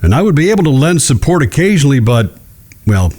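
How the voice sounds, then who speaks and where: An actor playing a shrewd, courteous judge who speaks in a measured, genteel way in a western audio drama. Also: male voice, mid-range voice, sing-song, darkish tone. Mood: measured, persuasive. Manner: measured, genteel, deliberate with careful pauses. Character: shrewd, courteous Old West male judge.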